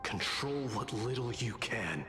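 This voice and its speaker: An actor playing a stern, fatalistic warrior lord in a video game, with a stoic, weighty, formal delivery. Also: male voice, mid-range voice, animated, slow, over music. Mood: stern, commanding. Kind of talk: dramatic video game voice acting.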